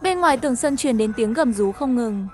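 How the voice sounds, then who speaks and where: A woman's voice: on the high side, animated, fairly quick. A woman reading a scripted first-person story as its narrator, with music behind her.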